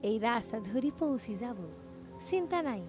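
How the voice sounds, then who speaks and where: High-voiced, teasing village woman played by an actress. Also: lively intonation, normal speed, a little mellow, soft background music, lightly acted. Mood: frank, teasing. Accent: rural West Bengal dialect. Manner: teasing, colloquial, scolding familiarity. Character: bold, teasing young village woman, plain-spoken and caring. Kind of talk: audio story acting.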